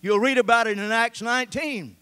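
A man's voice: extremely high pitch, brisk, bright, forceful tone, clear, resonant tone. An older man preaching a sermon with a handheld mic on a church stage, reading scripture and gesturing as he talks. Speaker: pastor. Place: church stage with band gear, indoors.

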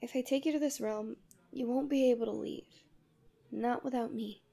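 Soft, high-voiced tender guardian angel played by a woman. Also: steady tone, normal speed. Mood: firm, fond. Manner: soft, soothing, often breathy half-whispers. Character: tender, possessive female guardian angel in love with a human. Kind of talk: ASMR roleplay, intimate.

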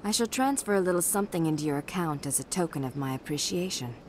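Low-voiced, calculating polite official. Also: female voice, lively intonation, slight background noise. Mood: coolly polite. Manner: formal, measured, polite. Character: composed, calculating female official with smooth evasive politeness. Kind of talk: video game dialogue.

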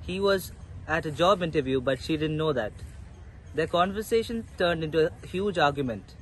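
Higher-voiced young man narrating. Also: lively intonation, normal speed, poor audio. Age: young adult. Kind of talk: scripted story narration. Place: outdoors in a park. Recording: faint outdoor background noise.

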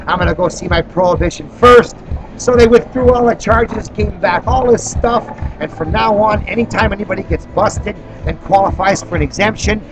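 A man hosting an informal on-the-spot interview, telling stories in an animated way and acting out quoted dialogue. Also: sits high, low-quality recording. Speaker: interviewer and activist introducing a guest. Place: busy expo venue. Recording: music behind the voice. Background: music.

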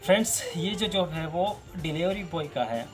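A man's voice: a little high, lively intonation, normal speed, a bit bright, faint music behind. A man in his thirties hosting a job channel, giving direct-to-camera advice outdoors by a wall, gesturing and repeating key points.

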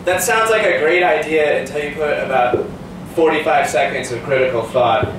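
Male open-mic comic, twenties, high-pitched, incredulous and foul-mouthed; distant, noisy live recording. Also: normal speed, heavy reverb. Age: 20s. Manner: profane, incredulous ranting. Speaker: stand-up comedian at an open mic. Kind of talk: live stand-up comedy. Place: small cafe comedy night, indoors.

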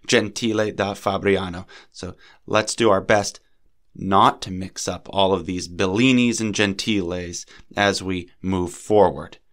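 A man with a lowish voice delivers a scripted art history lecture as a podcast host. He speaks in a measured, lecturing way. His voice is a little scratchy and sniffly from a cold. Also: fairly bright tone.

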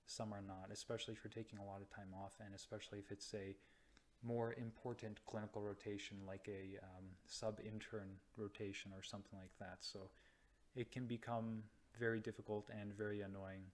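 Young man, slow hesitant explainer, low voice, flat tone. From United States or Canada. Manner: slow, hesitant, many ums and restarts. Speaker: radiology resident. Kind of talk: slide-based explainer. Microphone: voice-over to slides.

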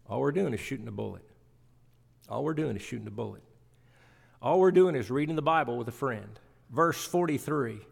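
A man's voice: medium pitch, gravelly. A middle-aged man preaching a sermon from scripture at a church pulpit, emphatic, repeating phrases for weight. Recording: reverberant church hall sound.

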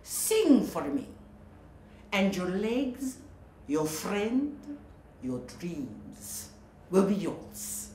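A woman voicing an evil old female sea witch who croaks and rasps her slow, menacing lines. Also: fairly low pitch, sing-song, dark tone, slight background noise, pitched below the performer's own voice, heavily acted. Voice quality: raspy, croaking. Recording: roomy echo.

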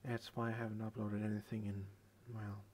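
A tired young man hosting a late-night ASMR video, rambling in a soft half-whisper into a headset microphone at his computer desk. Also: lowish voice, completely flat delivery, a little fast, slight background noise. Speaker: channel host.

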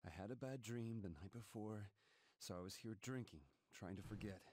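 A man's voice: fairly low pitch, moderate pace, a little mellow, husky, dry recording, studio quality. An actor with an American accent playing a troubled, weary and easily rattled man in a video game. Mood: brooding. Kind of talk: animated video game cutscene acting. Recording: game music behind the voice.

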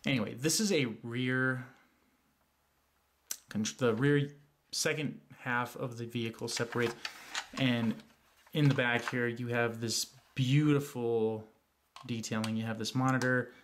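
A man's voice: medium pitch, fairly even intonation, unhurried, pauses often, fairly bright tone. Man, congested from a cold, rambling casual toy review, faint hiss behind. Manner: rambling, frequent fillers and restarts.

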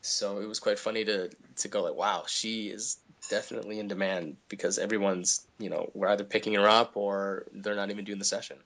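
A man talks through his voice-acting work in a relaxed interview with lots of energy. His voice sits somewhat low but has a bright, forward ring. He is a lively, animated storyteller. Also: brisk, hardly any pauses. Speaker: voice actor guest. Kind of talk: casual interview chat.

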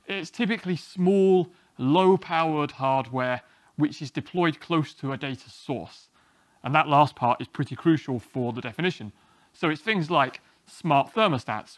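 A man, giving a relaxed, self-deprecating technical talk at a small meetup, often restarting his sentences. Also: on the high side, no echo. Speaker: conference speaker. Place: small meeting room, indoors.

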